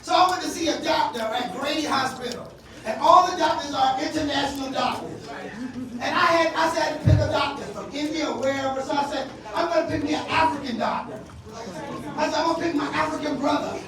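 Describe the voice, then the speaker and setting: A woman comedian from South Carolina with a deep voice, doing a loud, punchy stand-up set and working the crowd. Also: brisk, recorded from a distance. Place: comedy club stage. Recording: echoey live venue, mic heard from afar, crowd laughter. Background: audience laughing.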